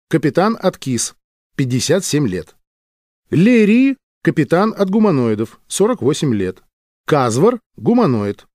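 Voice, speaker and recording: A man narrating an audiobook from a script in measured, clearly enunciated phrases. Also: fairly high pitch, darkish tone, dry recording, studio quality.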